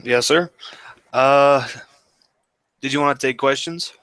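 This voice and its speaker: A young male podcast host with a bright, forceful tone, recorded close and dry with no room sound. He asks his questions in an easygoing, offhand manner. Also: medium pitch, takes it slowly, clear, resonant tone, no echo. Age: 20s. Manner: relaxed, laid-back questioning. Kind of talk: casual podcast chat.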